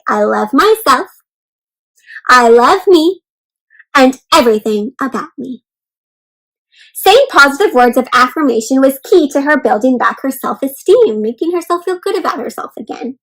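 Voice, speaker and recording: A woman's voice: high voice, a bit bright, poor audio. A woman reading a storybook aloud to young children, encouraging and reassuring, often stopping to explain simply and check in with them. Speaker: children's read-aloud host.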